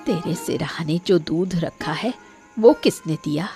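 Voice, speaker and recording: A woman's voice: on the low side, expressive, measured pace, over music. A woman playing a caring, helpless old grandmother in a cartoon moral story, soothing and pleading. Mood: coaxing, tender. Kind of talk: Hindi cartoon story dialogue.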